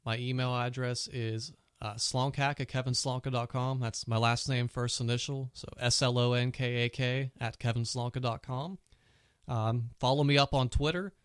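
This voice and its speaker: A man's voice: mid-range voice, clean tone, no room sound, studio quality. A man hosting his own casual tech podcast, chatty and full of fillers, with a cold and a sore throat. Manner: chatty, many "you know" fillers. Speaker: podcast host.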